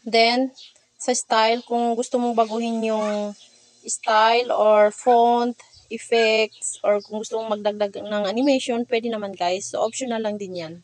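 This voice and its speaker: A young woman with a Filipino accent talks viewers through a tutorial step by step. Her voice sits a little high and is recorded very dry. She keeps it casual and informal, as a vlogger does. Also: fairly even intonation, unhurried, dry recording. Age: young adult.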